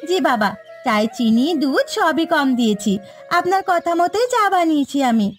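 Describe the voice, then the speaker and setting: A put-upon cartoon housewife, played by a woman, with a high voice that swings up and down. She is exasperated and complaining, in moral-story dubbing style over faint music. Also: normal speed. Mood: dutiful, placating. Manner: complaining, animated.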